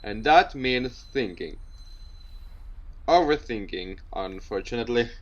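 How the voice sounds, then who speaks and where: A man's voice: mid-range voice, lively intonation, unhurried, muffled recording, a little hiss or hum. A man hosting a let's play, reading visual novel text aloud with commentary and often tripping over words and repeating them. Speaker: gaming video host.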